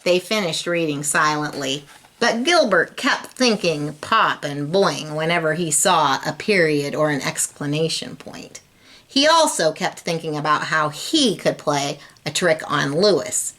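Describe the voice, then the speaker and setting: A woman's voice: low-pitched, a bit bright. A woman reading a children's storybook aloud, expressively and speaking directly to young listeners. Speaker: storybook reader.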